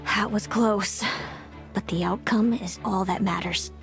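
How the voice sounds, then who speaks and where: A woman voicing a composed, bitter young female rival in a dramatic video game, measured and ominous. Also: mid-range voice, steady tone, creaky, over music. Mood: cool, commanding.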